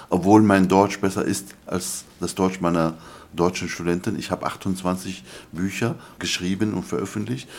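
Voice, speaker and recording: An older man, a scholar answering interview questions in an earnest, lecturing way, often halting with fillers. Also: low-pitched, a little mellow. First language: Arabic. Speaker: guest scholar.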